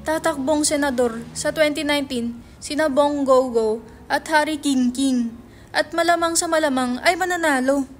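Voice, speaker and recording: A woman's voice: high voice, normal speed, soft background music, close to an everyday voice. A woman playing a cynical, exasperated male office partner in a dramatic reading, blunt and informal. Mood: cynical, impatient.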